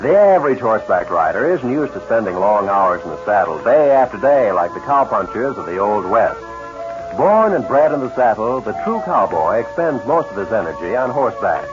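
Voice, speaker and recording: A man's voice: fairly low pitch, very animated, normal speed. A man delivering a polished, persuasive 1940s radio advertisement as a commercial announcer. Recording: muffled 1940s radio recording. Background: music.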